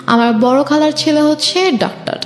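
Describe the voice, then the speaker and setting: A woman's voice: sits high, normal speed, soft background music. A woman with a Bangladeshi accent telling her own life story as a guest, conversationally, quoting what people said. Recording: echoey, reverberant room.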